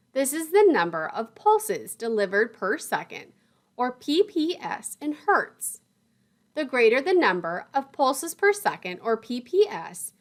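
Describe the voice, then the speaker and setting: A woman's voice: on the high side, a bit bright. A woman lecturer reading scripted instructional material in a methodical, didactic way, setting out terms and lists one by one.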